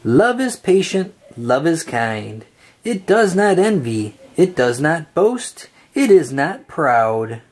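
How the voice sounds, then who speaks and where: Expressive, slow storybook reader, husky voice. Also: medium pitch. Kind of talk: read-aloud of a children's picture book.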